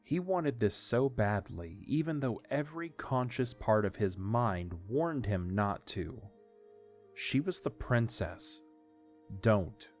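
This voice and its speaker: A man reading scripted story narration as the narrator of an audio drama. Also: on the low side. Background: faint music.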